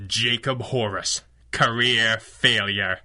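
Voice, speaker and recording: A man's voice: on the low side, fairly even intonation, slow, pushed and bright, heavily acted. A man playing a suave, confident masked crimefighter in a pulp radio drama, wry, dry and theatrical. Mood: accusing.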